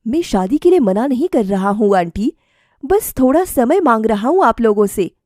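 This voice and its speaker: A mild, dutiful young man from a cartoon moral story, read by a woman. The delivery is sincere and measured, a little hesitant, in a dry close recording. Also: fairly high pitch, lively intonation, a little mellow, no room sound, close to an everyday voice. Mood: respectful, firm.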